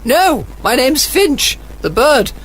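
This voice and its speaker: An actress playing a haughty, sardonic British agony aunt in a 1940s radio comedy, with pompous, withering formality. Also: female voice, high voice, sing-song, takes it slowly, heavy background noise, heavily acted. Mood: pompous, scolding.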